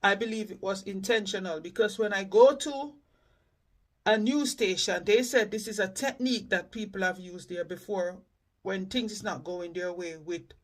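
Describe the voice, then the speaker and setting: A man's voice: high-pitched, moderate pace. A man from the Caribbean hosting a reaction channel, giving indignant, emphatic commentary.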